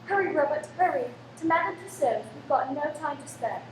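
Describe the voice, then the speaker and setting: Anxious wife and mother, played by a woman, high voice, urgent radio-drama delivery, roomy echo. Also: lively intonation, brisk. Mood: frantic, urgent. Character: frantic wife and protective mother, fearing a killer. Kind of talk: radio play acting. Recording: roomy, echoing, faint background noise.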